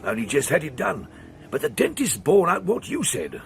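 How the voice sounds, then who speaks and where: An actor playing a rough, self-serving sea captain in a radio drama, with a working-class English accent and colloquial, grumbling speech. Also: male voice, a little high, wildly swooping pitch, moderate pace, a little hiss or hum, heavily acted. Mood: pleased. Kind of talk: radio drama character acting.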